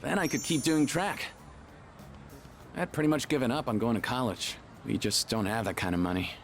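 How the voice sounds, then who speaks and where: An actor playing a casual, chatty, sincere teenage student athlete in a video game. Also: male voice, mid-range voice, moderate pace, soft background music, close to an everyday voice. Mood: hopeful, earnest.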